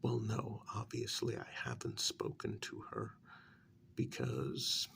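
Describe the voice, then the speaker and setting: A man playing a calm, reproachful vampire mediator in a soft, breathy, half-whispered ASMR role-play, speaking in measured, halting phrases. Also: on the low side, full character voice. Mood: exasperated, chiding.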